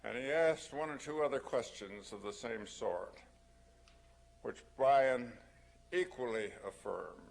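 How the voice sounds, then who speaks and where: Slow, creaky-voiced older man speaking deliberately. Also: mid-range voice. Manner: halting, deliberate, formal. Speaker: panel speaker. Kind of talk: reflective remarks at a public talk.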